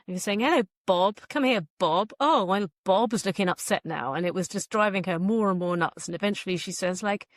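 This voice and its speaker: A woman's voice: mid-range voice, fairly quick, hardly any pauses, no room sound, clean studio sound. A woman speaking as a guest author in a casual, chatty interview.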